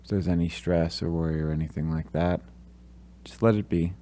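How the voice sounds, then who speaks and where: A man leading a guided meditation, giving gentle, calm instructions with long pauses between phrases. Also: low-pitched.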